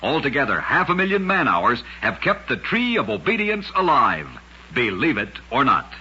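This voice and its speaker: A man's voice: medium pitch, big pitch swings, moderate pace. A man narrating scripted oddities as host of an old-time radio program, with a dramatic, emphatic storytelling delivery. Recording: muffled old radio recording.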